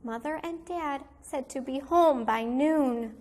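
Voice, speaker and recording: Woman, high voice, slow pace, kindergarten teacher, animated picture-book read-aloud, deliberate storytelling for small children.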